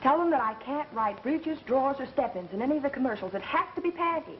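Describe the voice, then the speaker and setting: An actress playing a brisk, quick-witted young radio career woman in 1930s screwball style. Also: high voice, animated. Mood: brisk, wry. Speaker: actor in a film. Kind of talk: 1930s fast screwball film acting. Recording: muffled, hissy 1930s film soundtrack.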